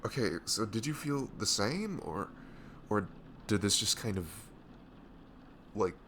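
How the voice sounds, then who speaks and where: A man playing a tender, nervous best friend in an intimate ASMR roleplay, speaking softly and hesitantly in a low, gravelly voice close to the mic. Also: lively intonation, unhurried, slight background noise. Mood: stunned, flustered. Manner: hesitant, stammering, soft-spoken.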